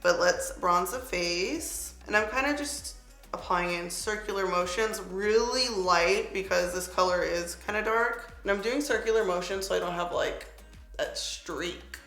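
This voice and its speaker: Bright, raspy-edged young woman vlogger. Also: mid-range voice, unhurried. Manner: chatty, lots of "like" fillers, upbeat product asides. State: slightly raspy voice. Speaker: beauty vlogger. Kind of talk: casual makeup tutorial chat. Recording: echoey room with faint music.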